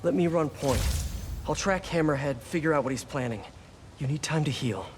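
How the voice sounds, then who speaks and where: A man's voice: fairly high pitch, moderate pace, a little hiss or hum, natural voice. A young man playing an earnest, wisecracking superhero in a video game, talking in a conversational, musing way. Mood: earnest, concerned. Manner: quippy, musing aloud to himself.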